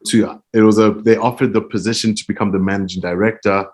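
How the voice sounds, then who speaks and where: A man speaks in a fairly low voice. He answers interview questions earnestly and thoughtfully, and he often breaks into soft laughter. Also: steady tone, quick pace. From Zimbabwe. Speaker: interview guest, music publishing director.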